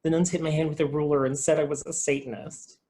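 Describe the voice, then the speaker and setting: A man playing an excitable, idealistic and naive young video store clerk, earnest and dramatic, in a comedy table read. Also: fairly high pitch, fast, natural voice. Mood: wounded, pleading.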